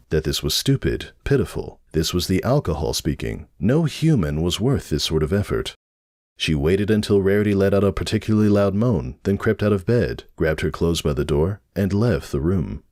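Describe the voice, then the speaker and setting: A man's voice: low-pitched, a little mellow, dry recording, studio quality. A man narrating a story in a scripted, dramatic reading style. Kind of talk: dramatic fan fiction narration.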